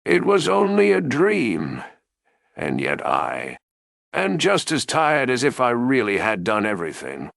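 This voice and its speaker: A man acts out a tired young princess from a fairy tale, plaintively recounting a dream. The words are drawn out slowly, and the pitch rises and dips widely. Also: wildly swooping pitch, creaky, a bit bright, no room sound, clean studio sound, full character voice. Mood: weary complaint. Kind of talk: storybook character voice.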